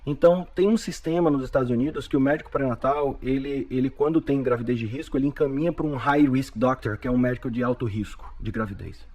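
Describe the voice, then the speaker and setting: A man in his forties with a Bahian Brazilian accent talks fast as a guest. He tells his story animatedly and doubles back on his words. His voice sits at an everyday middle pitch. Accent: Bahian Brazilian Portuguese. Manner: animated storyteller with frequent repetitions. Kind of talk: storytelling in a podcast interview.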